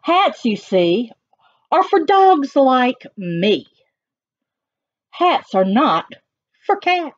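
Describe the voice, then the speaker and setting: A fussy little picture-book dog with a prim, lecturing manner, as a woman reads his part aloud. The lines rise and fall in a lively sing-song and are taken at an unhurried pace. The recording is dry and a little dull. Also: sits high, voice pushed up, full character voice.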